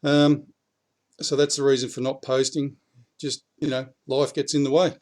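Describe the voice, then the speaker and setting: A man with an Australian accent, a little croaky after the flu, talking bluntly and casually to camera from his backyard about politics. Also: medium pitch, level tone, moderate pace, a little mellow. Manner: blunt, swears, rising heat when urging. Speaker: prepping vlogger.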